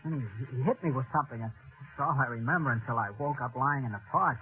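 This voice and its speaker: An actor playing a cocky, desperate killer narrating in slangy, hard-boiled 1940s radio noir style. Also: male voice, medium pitch, fast talker, narrow, tinny audio, lightly acted. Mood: feigned distress. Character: cocky, desperate wife-killer convinced of his luck. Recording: old radio recording, thin band, music behind.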